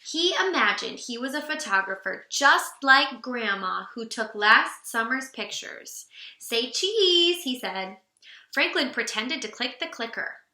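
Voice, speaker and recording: A woman reading a children's storybook aloud in an animated, expressive way for young listeners. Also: fairly high pitch, a little slow, echoey room.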